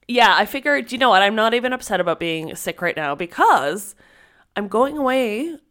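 A woman co-hosting a podcast in casual chat, a little stuffy and raspy from a cold, talking freely and restarting her sentences. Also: fairly high pitch, sing-song, moderate pace, fairly bright tone. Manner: chatty, laughs often, restarts sentences. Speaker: podcast co-host.

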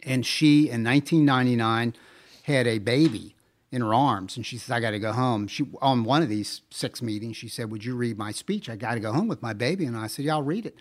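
A man co-hosting a podcast in casual conversation, telling stories in an animated, emphatic way. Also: medium pitch, brisk. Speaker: podcast co-host.

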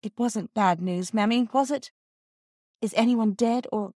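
An earnest Edwardian girl played by a woman narrator, the caring elder sister of a storybook family. She speaks properly and a little priggishly, in a raised pitch with a dry, close sound. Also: a little slow, dark tone, no room sound. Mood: anxious.

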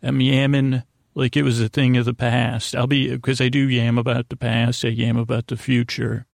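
A man hosting a bedtime podcast in a creaky voice, rambling in a gently silly, halting way that meanders and circles back. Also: medium pitch, level tone, no echo, clean studio sound. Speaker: podcast host. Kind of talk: rambling bedtime monologue to aid sleep.

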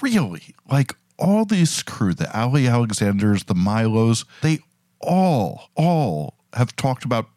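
A man producing and chatting on a political podcast, sardonic and animated. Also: mid-range voice, takes it slowly, no room sound, clean studio sound. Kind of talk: casual political chat.

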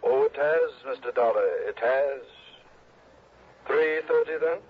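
A man's voice: mid-range voice, husky. A man playing a formal, fussy insurance executive through a telephone filter in 1960s radio drama style. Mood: formal, polite. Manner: polite, precise, deliberate. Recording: tinny telephone-filtered old radio recording.

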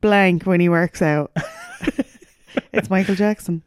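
A woman's voice: on the low side, slow pace, clean tone, dry recording, clean studio sound. A woman co-hosting a podcast, chatting casually and poking fun at herself as she plays a guessing game, swearing freely. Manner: playful, self-mocking, sweary. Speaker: podcast co-host. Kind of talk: casual banter while playing a guessing game.

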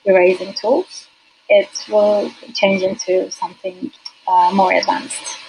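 A woman whose first language is Turkish, a UX designer as a podcast guest, talking hesitantly with many fillers and soft laughs. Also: mid-range voice, level tone, takes it slowly, vocal fry, slightly rough, poor audio. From Turkey. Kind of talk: casual interview.

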